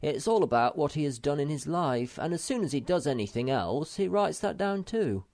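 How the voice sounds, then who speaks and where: A man doing a high, earnest young troll. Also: sing-song, moderate pace, darkish tone, no room sound, studio quality. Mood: chatty.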